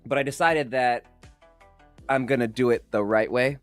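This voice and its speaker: A man's voice: medium pitch, moderate pace, faint music behind. A man co-hosting a podcast, chatting loosely with a friend, swearing and joking. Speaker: podcast co-host, author. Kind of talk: casual banter. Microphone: studio mic.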